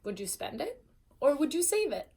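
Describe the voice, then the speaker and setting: A woman with a fairly high voice reads a children's storybook aloud. She is an expressive teacher who speaks directly to young listeners and asks them questions as she goes. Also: moderate pace. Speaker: teacher reading a bedtime story to first graders.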